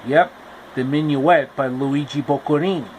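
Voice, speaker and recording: A man retelling a classic fairy tale in a casual storybook style, with slow, repetitive explanatory asides. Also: fairly high pitch, a little mellow, slight background noise, low-quality recording.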